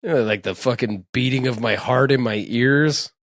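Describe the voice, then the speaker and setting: A man's voice: medium pitch, normal speed, no echo, clean studio sound. A man co-hosting a podcast, chatting casually with profane, playful teasing. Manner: profane, joking, playfully mocking. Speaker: podcast co-host. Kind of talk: casual chat.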